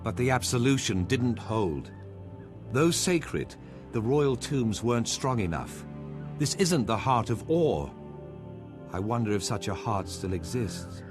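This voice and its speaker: An actor playing a solemn, scholarly plant-folk marshal in a video game cutscene, speaking in an earnest, formal, measured way. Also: male voice, mid-range voice, expressive, natural voice. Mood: thoughtful. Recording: voice over loud game music.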